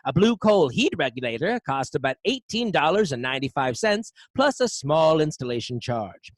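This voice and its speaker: An actor playing an earnest, polished 1930s radio pitchman delivering an emphatic advertisement. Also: very animated, normal speed, no room sound. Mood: salesmanlike. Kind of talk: old-time radio advertisement.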